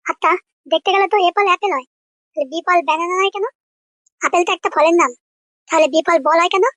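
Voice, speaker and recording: Cheeky little cartoon boy, adult male performer, pitched up, dry sound, inquisitive delivery. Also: brisk, sharp tone, dry recording. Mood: puzzled. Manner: questioning, coaxing. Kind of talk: comedy cartoon voice acting.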